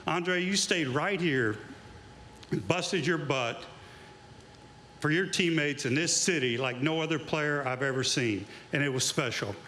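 A man's voice: a little high, measured pace, bright, forceful tone, roomy sound, a little hiss or hum. A middle-aged man, a former coach, giving an induction speech at an outdoor awards event, telling folksy stories into a handheld mic. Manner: folksy storytelling, building to emphatic tribute.

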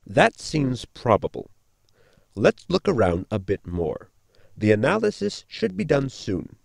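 A man playing a pedantic, eccentric science officer, formal and polite, with a creaky voice, in a sci-fi comedy audio drama. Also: medium pitch, huge pitch swings, measured pace, no echo, studio quality, full character voice. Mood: thoughtful.

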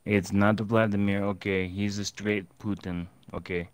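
A man doing a bragging mock-Russian gamer who speaks of himself in the third person in broken English. The voice sits lowish, and the chat has gunfire and game noise clearly behind it. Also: level tone, measured pace, natural voice. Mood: boastful. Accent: put-on Russian.

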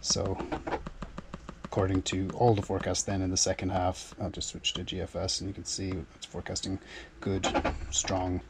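Low flat-voiced man thinking aloud. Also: normal speed, noisy background. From Ireland. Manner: methodical, thinking aloud, repeats words. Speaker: solo sailor vlogger. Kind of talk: casual explanatory vlog narration. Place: small boat cabin and engine bay.

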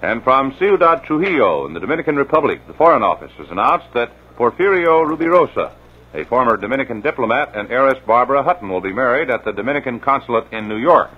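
A man reading the news and weather in a measured, formal 1950s radio style. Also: medium pitch, animated, husky. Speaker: newscaster. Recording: dull old radio transcription.

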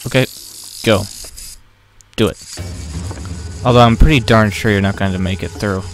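A man's voice: fairly low pitch, moderate pace, soft background music. A young man giving casual, wry and sarcastic commentary as he plays a video game. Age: young adult. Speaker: let's play host.

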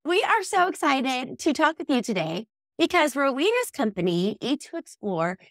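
A young woman hosting a podcast interview, warmly enthusiastic and upbeat. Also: high-pitched, sing-song, normal speed, dry recording, studio quality. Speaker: podcast host. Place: bright indoor room. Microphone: headset and studio mic.

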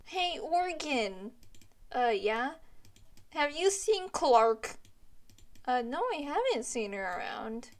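A young woman doing casual, comedic character dialogue for an amateur animation, with a slangy, silly, halting delivery. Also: high voice, sing-song. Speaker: creator and voice actor of an animated web series.

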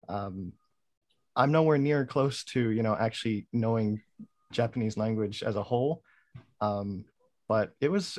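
A man's voice: medium pitch, a little mellow. A male actor on a video call, talking in an easygoing, modest way.

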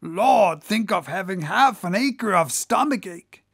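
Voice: mid-range voice, lively intonation, unhurried, gravelly, breathy edge, dry recording, clean studio sound, exaggerated voice. An audiobook reader voicing a boisterous, joking whaleboat mate who goads the crew in salty, exclamatory talk. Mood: jocular. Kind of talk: animated audiobook character voice.

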